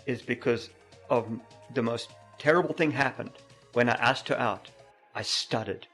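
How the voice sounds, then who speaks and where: Middle-aged man, stuttering, repeating words, soft gentle talk, mid-pitched. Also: moderate pace, faint music behind. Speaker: podcast guest, mountain biker. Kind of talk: casual interview chat.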